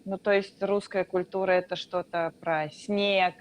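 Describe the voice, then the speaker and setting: A woman from Russia, a Russian teacher, explaining things calmly in a casual interview with drawn-out fillers. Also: fairly low pitch.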